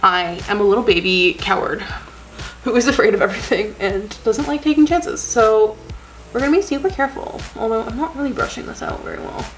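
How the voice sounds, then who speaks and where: A woman's voice: a little high, brisk, low-quality recording. A woman vlogging at home in a basement, rambling and self-deprecating with lots of "like", a bit sniffly, with faint music behind. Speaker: beauty vlogger. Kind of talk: casual self-deprecating chat to camera. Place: basement room at home, indoors. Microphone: camera on a desk with ring light.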